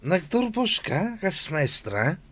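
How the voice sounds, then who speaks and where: A man's voice: high voice, big pitch swings, slow, fairly bright tone, full character voice. A man with an Ilocano accent playing an idle, surly young village husband in a radio drama. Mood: chatty.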